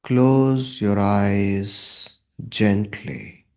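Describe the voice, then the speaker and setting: A man leading a guided meditation in a calm, measured manner, leaving long pauses between short phrases. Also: fairly low pitch.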